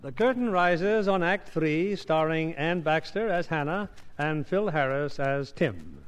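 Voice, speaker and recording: High-voiced American radio theater host. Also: male voice, animated, unhurried, music in the background. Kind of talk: formal 1950s radio presenting. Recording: vintage 1950 radio recording.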